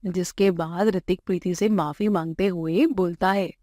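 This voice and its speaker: A woman's voice: on the low side, steady tone, measured pace, warm tone, no room sound. Woman narrating a story, dry sound. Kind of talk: scripted narration of a moral bedtime story.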